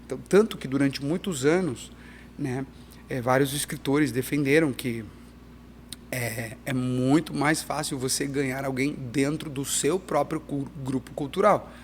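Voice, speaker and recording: A middle-aged missionary pastor, talking animatedly as a guest in an interview, with frequent restarts. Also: male voice, medium pitch, a little slow, a little hiss or hum. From Peru and Brazil. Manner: animated, emphatic, restarts often. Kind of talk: conversational interview.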